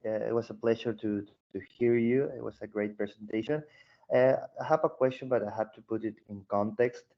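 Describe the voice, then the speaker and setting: A man from Argentina with an Argentine Spanish accent, haltingly putting a question in an online meeting. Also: lowish voice, steady tone. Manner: halting, repeats words. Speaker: meeting participant asking a question. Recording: dull, lo-fi online call.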